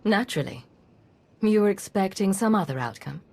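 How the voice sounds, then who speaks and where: An actress playing a cool, confident secret agent in a video game, self-assured and a little teasing. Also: female voice, medium pitch, expressive, normal speed, mellow.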